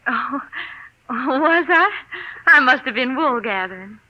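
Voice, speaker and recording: High-voiced, anxious, placating wife. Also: female voice, lively intonation, takes it slowly. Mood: sweet, evasive. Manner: polite, hesitant, soothing. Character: anxious, placating wife, sweetly evasive with her husband. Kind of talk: 1940s radio drama acting. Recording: muffled vintage radio recording.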